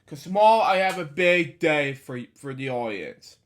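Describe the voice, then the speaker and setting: Male host, 20s, voice a bit high, halting casual read-aloud with rambling asides. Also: lively intonation. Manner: halting, stumbles over words while reading; rambling asides with repeated catchphrases. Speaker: host of a story reading series.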